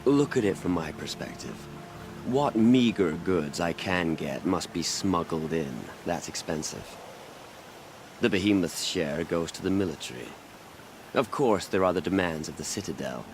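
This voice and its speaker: A man's voice: low voice, lively intonation, normal speed, darkish tone, slight background noise. A man playing a haughty, eloquent exiled healer in a video game, dry and sardonic in his wordy delivery. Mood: sardonic, smug. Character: cynical, self-pitying exiled male healer, haughty and eloquent.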